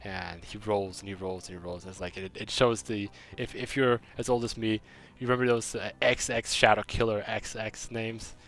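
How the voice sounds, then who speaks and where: A man's voice: on the low side, fairly even intonation, moderate pace, hardly any pauses, fairly bright tone, faint music behind. A young man from Germany with a slight German accent, chatting casually on a game stream and rambling through a story with many restarts. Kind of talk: casual stream chat, reading chat.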